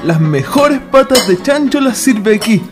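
An actor playing a hearty military conspirator in a historical radio drama. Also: male voice, very high-pitched, sing-song, unhurried, music in the background, heavily acted. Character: hearty military conspirator praising his town.

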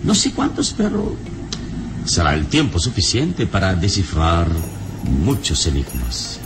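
Deep-voiced, solemn adventure hero. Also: male voice, huge pitch swings, music in the background, heavily acted. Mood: mysterious, resolute. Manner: solemn, measured, theatrical. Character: wise, calm, heroic male adventurer, grave and mysterious. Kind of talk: old radio serial acting.

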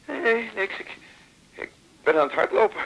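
A man playing an out-of-breath, sheepish doctor calling a phone box in a radio drama, halting and self-mocking. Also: sits high, big pitch swings, vocal fry, fairly bright tone, lo-fi sound, heavily acted. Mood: breathless, sheepish. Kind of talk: naturalistic 1980s radio drama acting.

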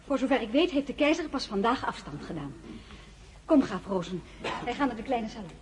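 An actress playing a weary but composed crown princess in a radio drama, courteous and sometimes hesitant. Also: female voice, fairly high pitch, animated, brisk, slight background noise, natural voice. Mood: commanding.

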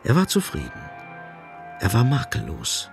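An actor as the grave, ironic narrator of a literary radio play, deliberate and insistent, with a husky, creaky voice. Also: male voice, mid-range voice, huge pitch swings, over music. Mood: ironic, measured.

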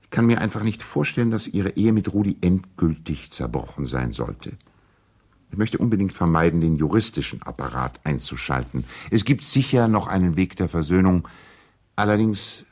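An actor playing a courteous, earnest lawyer and family friend, reading out letters in formal, measured diction in a radio play. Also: male voice, low voice, a little mellow.

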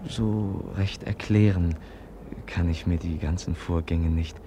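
A gentle frail prince, low voice, earnest and hesitant, noisy old radio drama recording. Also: male voice, steady tone. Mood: pensive, hesitant. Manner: polite, earnest, hesitant with thoughtful pauses. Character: gentle, frail, candid young Russian prince. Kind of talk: 1950s German radio play acting.

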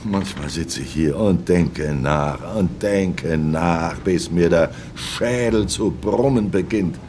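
A low-pitched old sailor, loyal and grieving, tells his memories in a radio play. He broods and repeats himself, with some ambient noise behind him. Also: slow pace, a little mellow. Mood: anguished brooding. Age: older. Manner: ruminative, reminiscing, repeats himself. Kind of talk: radio play monologue, storytelling.

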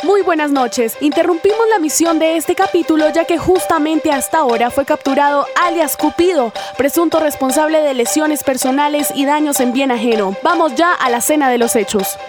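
A woman plays a brisk news anchor in a radio drama spoof. Her voice is high and urgently formal, over clear bulletin music. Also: natural voice.

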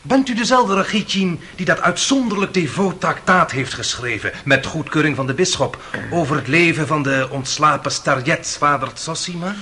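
A man's voice: fairly high pitch, normal speed, bright, forceful tone. An actor playing a suave, sarcastic defence lawyer in a radio courtroom drama, theatrical and insinuating in his mock courtesy. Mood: politely probing. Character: suave, sarcastic male defence lawyer cross-examining witnesses.

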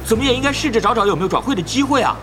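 An actor playing a chatty, nosy young male pro gamer who pesters rapidly in an audio drama. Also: very high-pitched, animated. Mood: pestering. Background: background music.

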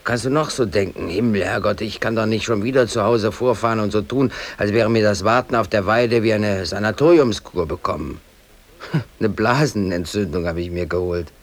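A man's voice: fairly low pitch, moderate pace. An actor playing an aggrieved, defiant working man in his thirties, brooding aloud in colloquial speech in a radio drama. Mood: bitter, rueful, then rueful recounting. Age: 30s. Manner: colloquial, brooding, talking to himself. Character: aggrieved, defiant working man, hobby photographer, in police custody.

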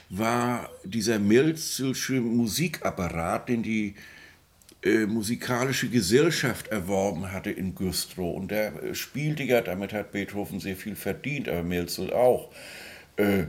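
A man speaking as a music expert, explaining off the cuff in a halting, digressive way, often tagging his phrases with a questioning word. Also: on the low side. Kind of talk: unscripted interview-style reminiscing explanation.

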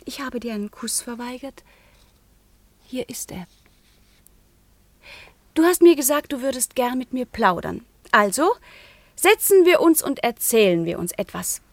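A woman's voice: a little high, animated, a little slow, pauses often, no room sound. A woman acting an earnest, proud young noblewoman in a radio play, questioning in a composed, direct way. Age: young adult.

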